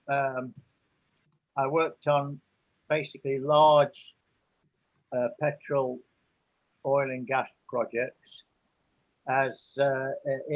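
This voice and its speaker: An older man from England answering interview questions in an unhurried, hesitant way, with many fillers. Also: medium pitch, highs cut off.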